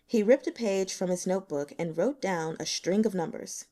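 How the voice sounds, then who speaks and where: A woman's voice: medium pitch, moderate pace, warm tone. A woman reading scripted narration as an audiobook narrator.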